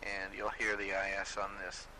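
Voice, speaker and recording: A man's voice: sits low, completely flat delivery, moderate pace. A man casually presenting a tour of shortwave radio recordings as the host, in a rambling, informal way. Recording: noisy amateur recording.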